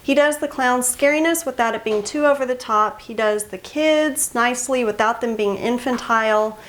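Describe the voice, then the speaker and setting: Female vlog host for book recommendations: slightly high pitch, enthusiastic, conversational delivery with emphatic praise. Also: moderate pace. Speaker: host of a book review channel. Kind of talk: casual scripted book recommendation to camera.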